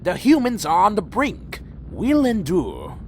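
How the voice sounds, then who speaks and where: A man's voice: sits high, huge pitch swings, measured pace, a little hiss or hum, exaggerated voice. A man playing a weary, proud winged vampire clan leader in a fan audio drama, speaking formally and broodingly. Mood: weary, resigned.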